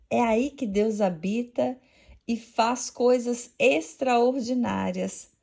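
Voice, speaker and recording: A woman with a Portuguese accent giving a measured, devout religious reflection read from a script. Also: fairly high pitch, creaky, darkish tone.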